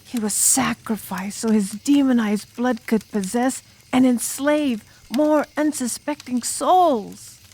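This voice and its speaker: Casting: woman as enigmatic drum major spinning horror tales by the fire; unhurried, breath-roughened, menacing, dramatic audiobook delivery. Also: fairly high pitch, mellow, poor audio. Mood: grave, menacing. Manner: ominous, drawn-out, dramatic, sometimes dropping to a whisper. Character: enigmatic drum major student telling a campfire demon horror tale. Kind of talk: audiobook ghost story narration in character.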